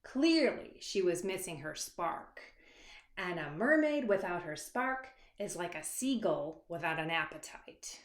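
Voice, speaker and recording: A woman author reading a children's picture book aloud expressively, with dramatic hushed moments and asides to young listeners. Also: lowish voice, big pitch swings. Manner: dramatic, dropping to hushed asides and stretched words; pauses to address young listeners with questions. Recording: echoey, reverberant room.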